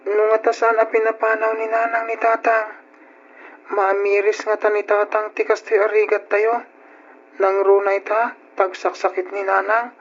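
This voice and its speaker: A plaintive young Ilocano sibling, played by a woman, resentful of hardship, in a medium-pitched voice. The style is old radio drama, heard on a dull recording. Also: completely flat delivery, normal speed, natural voice.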